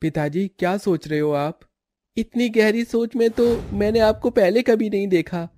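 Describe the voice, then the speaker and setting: Man as a mellow, concerned grown son. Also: high voice, moderate pace, close to an everyday voice.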